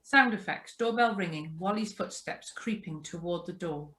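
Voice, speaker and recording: A woman's voice: fairly low pitch, normal speed, fairly bright tone. A woman reading out scripted sound-effect directions during a live play reading on a video call.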